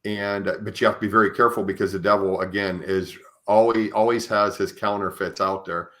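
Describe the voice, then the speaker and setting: A man hosting a conversational interview, speaking in a halting way as he searches for his words and shares his own thoughts. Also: fairly low pitch, steady tone, fairly bright tone. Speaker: podcast host. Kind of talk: conversational interviewing with personal commentary.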